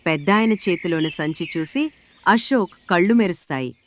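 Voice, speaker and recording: A woman's voice: on the low side, lively intonation, normal speed, a little hiss or hum. A woman narrating a scripted moral tale as its storyteller.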